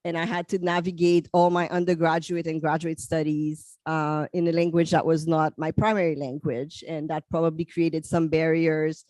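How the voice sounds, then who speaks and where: Haitian-raised woman, french her first tongue, steady panel talk, lots of ums, dry close sound. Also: deep voice, no echo. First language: French and Haitian Creole. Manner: measured, with frequent fillers. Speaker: psychologist panelist.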